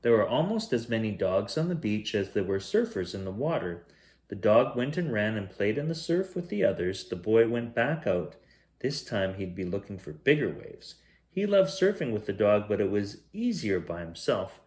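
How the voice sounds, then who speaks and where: A man reading a children's picture book aloud as a bedtime storyteller, in a measured, expressive way. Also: fairly low pitch. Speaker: bedtime story reader, host.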